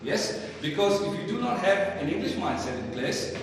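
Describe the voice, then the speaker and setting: A man's voice: high voice, big pitch swings, normal speed. A man with a Pakistani accent teaching a live online English lesson in a didactic way, often asking questions and answering them himself. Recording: very echoey hall, distant mic, faint noise.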